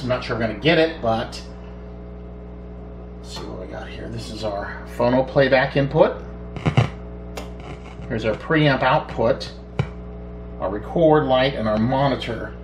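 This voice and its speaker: Middle aged guy muttering through a hands-on repair demo, mic a bit away, echoey room w/ clear background noise. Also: mid-range voice. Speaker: electronics repair hobbyist, host. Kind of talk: casual hands-on demonstration, thinking aloud. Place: small indoor home workshop at a bench.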